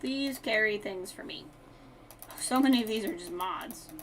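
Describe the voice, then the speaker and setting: Higher-voiced woman casually narrating her gameplay. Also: animated, unhurried, slight background noise. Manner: talks to self in short asides, playful wording. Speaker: live streamer.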